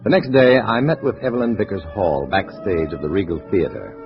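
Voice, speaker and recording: A low, lively male voice gives us a hard-boiled undercover agent in an old radio spy drama. He is terse and wryly dry. The recording is muffled, with a faint hiss. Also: a little fast, faint music behind. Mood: narrating, sardonic. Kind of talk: 1950s radio drama acting and first-person narration.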